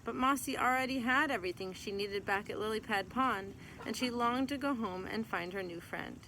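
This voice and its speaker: A woman's voice: fairly high pitch, moderate pace, low-quality recording. A woman reading a children's picture book aloud as a teacher would, in scripted narration. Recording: clear background noise.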